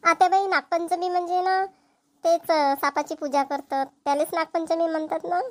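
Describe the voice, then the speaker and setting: A woman's voice: very high voice, fairly even intonation, normal speed. A woman voicing an earnest, stubborn young village woman in a Marathi cartoon, with a rural Maharashtrian accent. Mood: dutiful. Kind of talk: amateur cartoon comedy-drama dubbing.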